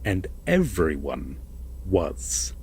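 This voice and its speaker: A man playing an obsessive, possessive lover in an intimate roleplay audio drama, speaking deliberately and coaxingly with dramatic pauses and a husky voice. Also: deep voice, huge pitch swings, darkish tone, slight background noise, exaggerated voice. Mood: ominous storytelling. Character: possessive, obsessive lover telling a veiled fairytale.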